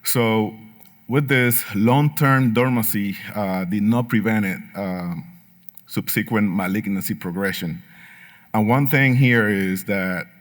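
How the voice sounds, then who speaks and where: A man's voice: fairly low pitch, takes it slowly. A middle-aged man from Puerto Rico with a Puerto Rican Spanish accent, giving an earnest talk at a medical conference with frequent fillers and light humor. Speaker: patient speaker at a medical symposium.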